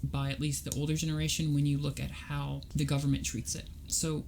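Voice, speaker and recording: Gravelly woman reasoning things through. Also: low-pitched, flat delivery, a little fast, a little mellow, slight background noise. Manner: reasoned, explanatory, talks through arguments step by step. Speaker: podcast guest. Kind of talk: casual conversational interview.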